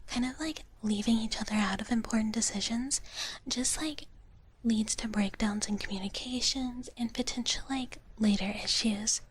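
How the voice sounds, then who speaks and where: A woman streamer speaking in a soft, breathy whisper in a playful, casual live ASMR style, close to the microphone. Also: fairly high pitch, moderate pace, poor audio. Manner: playful, speaks of herself in third person. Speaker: streamer performing as a dragon-girl character.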